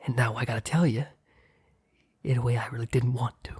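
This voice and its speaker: A man playing a mob boss boyfriend, blunt yet flustered, in an intimate ASMR roleplay with a breathy, halting delivery. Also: mid-range voice, steady tone, darkish tone. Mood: confessional, cold. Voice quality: breathy, husky, often near whisper. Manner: halting, sighing, blunt, swearing.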